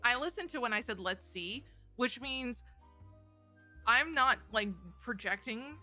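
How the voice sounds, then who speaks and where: A woman co-streaming in casual chat, giggly and quick to laugh, often correcting herself mid-sentence. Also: fairly high pitch, animated, pushed and bright, soft background music. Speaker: co-streamer, VTuber.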